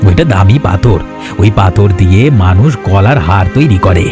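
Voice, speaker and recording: A man voicing a calm, scheming male captor in a cartoon, speaking in flat, businesslike threats. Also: low-pitched, normal speed, over music, natural voice.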